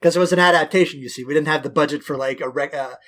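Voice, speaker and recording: A man's voice: a little high, racing, fairly bright tone. A man in his thirties from West Quebec, co-hosting a chatty podcast. His voice sits a little above the usual male range, and he talks in long, looping, profane anecdotes, often at his own expense. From West Quebec, Canada. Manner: rambling, profane, self-deprecating storytelling. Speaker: podcast co-host. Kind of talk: casual podcast chat.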